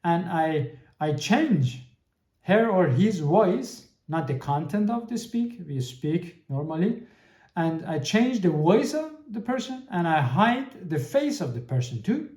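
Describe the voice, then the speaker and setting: A man, hosting his podcast alone and talking in a halting way, often restarting his sentences and searching for words. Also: high voice, very animated, a little mellow, echoey room. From Iran. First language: Persian. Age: 30s. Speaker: podcast host. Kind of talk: solo podcast monologue.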